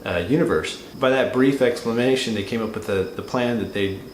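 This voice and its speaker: A man's voice: mid-range voice, brisk. A man co-hosting a movie review, talking casually and unscripted, often rephrasing as he goes. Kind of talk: casual unscripted film discussion. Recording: roomy echo, light background noise.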